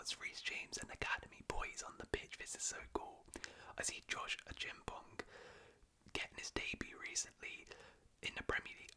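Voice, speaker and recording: A man whispering casual, rambling talk as a football fan, with frequent restarts. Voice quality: soft whisper throughout. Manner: rambling, conversational, restarts often.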